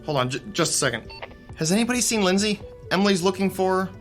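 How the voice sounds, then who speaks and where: A man's voice: high voice, expressive, fast, a bit bright. An actor playing an easygoing young male stagehand who talks in a casual, friendly way in a radio drama. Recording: radio drama with faint music.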